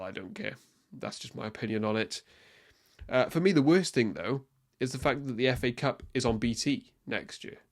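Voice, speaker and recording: Opinionated young man. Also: medium pitch. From Wales. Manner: opinionated, rambling, frequent ums and restarts. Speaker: podcast host. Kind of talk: casual solo football podcast.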